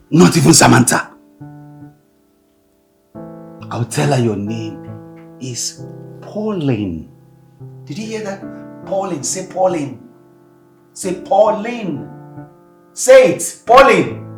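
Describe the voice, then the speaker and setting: An actor playing a secretive father who speaks to his daughter in a hushed, coaxing way. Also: mid-range voice, creaky, full character voice.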